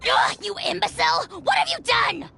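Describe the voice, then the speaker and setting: A woman voicing a tiny, cocky male dragon sidekick who hurls shrill insults in a video game. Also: big pitch swings, moderate pace, gravelly, pushed and bright, slight background noise, exaggerated voice. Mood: scornful.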